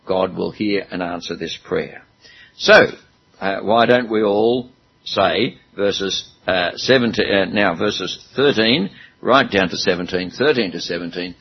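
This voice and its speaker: Gravelly, husky man preaching a sermon. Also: fairly low pitch, muffled recording. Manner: chatty, self-deprecating humor.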